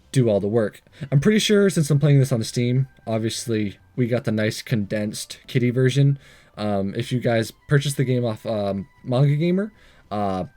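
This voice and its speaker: A man's voice: mid-range voice, warm tone. A man hosting a game playthrough, reading visual novel text aloud with jokey asides and the odd stumble.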